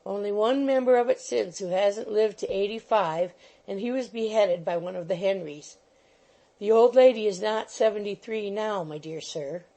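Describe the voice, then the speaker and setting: A woman's voice: mid-range voice, moderate pace, warm tone, lightly acted, low-quality recording. A woman voicing a fussy, bustling little male attorney who coaxes and keeps saying "my dear sir". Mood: wheedling. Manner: coaxing, repeats "my dear sir".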